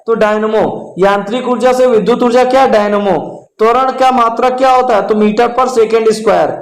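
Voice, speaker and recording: Male exam coach, strikingly high voice, familiar question-and-answer delivery with repetition, roomy echo. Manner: repeats questions and answers for emphasis, addressing listeners familiarly. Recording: echoey room.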